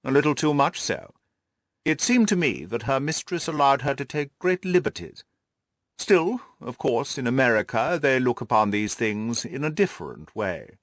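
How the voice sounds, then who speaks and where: A man's voice: medium pitch, big pitch swings, normal speed, no echo, studio quality, natural voice. A man voicing a haughty, self-satisfied lord in an audiobook, speaking in a pompous, formal, long-winded way. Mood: aloof. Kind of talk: audiobook character dialogue.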